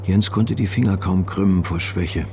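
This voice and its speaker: A man narrating a literary radio drama in a calm, measured, subdued reading. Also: low-pitched, vocal fry, a little hiss or hum.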